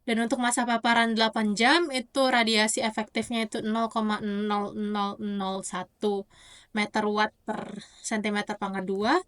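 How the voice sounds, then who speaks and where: A young woman gives an explanatory oral presentation as a student, often halting to correct herself. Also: medium pitch, little pitch movement, fairly bright tone, smooth. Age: young adult. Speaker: university student.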